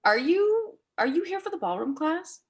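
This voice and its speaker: A woman acting a blunt, nervously polite character in a naturalistic stage reading, with a halting, dry, tactless way of talking. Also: very high voice, very animated, exaggerated voice. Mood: startled, guarded. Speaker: amateur or local theatre actor.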